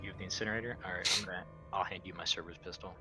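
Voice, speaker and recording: A man chatting casually as a player in a tabletop roleplaying game, describing his moves in a halting, conversational, blunt way. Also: low voice, steady tone, music in the background.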